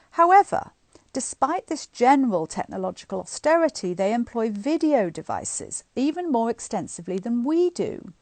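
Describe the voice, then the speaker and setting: High-voiced woman reading aloud, very animated. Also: dry recording. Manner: informal, chatty asides between expressive read passages. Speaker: guest reader of a bedtime story series. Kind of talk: live book reading with casual asides to online listeners. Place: indoors at home, on a live online stream.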